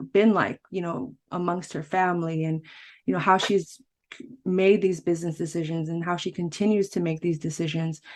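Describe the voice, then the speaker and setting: A woman hosting a podcast interview, talking casually and rambling a little, with restarts and frequent laughter. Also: lowish voice. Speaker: podcast host.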